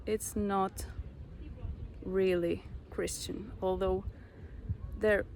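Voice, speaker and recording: A young Czech woman with a Czech accent, slowly sharing a personal spiritual story with many pauses. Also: mid-range voice, flat delivery, darkish tone, a little hiss or hum, rough recording. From Czech Republic. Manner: slow, reflective, pausing often. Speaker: vlogger.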